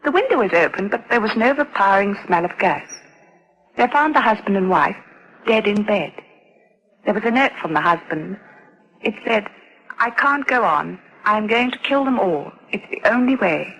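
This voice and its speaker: An actress playing a proper, confiding adoption official in a radio drama, formal, deliberate and well-spoken. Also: female voice, medium pitch, expressive, fairly bright tone, slightly rough, rough recording. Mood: grim.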